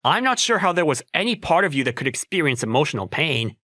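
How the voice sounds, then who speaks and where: A man's voice: a little high, big pitch swings, fast talker, pushed and bright, heavily acted. A man playing an awkward, modest young working man in a romcom manga dub, musing dryly and hesitantly about himself. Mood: flustered, teasing. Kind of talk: manga dub voice acting with inner monologue.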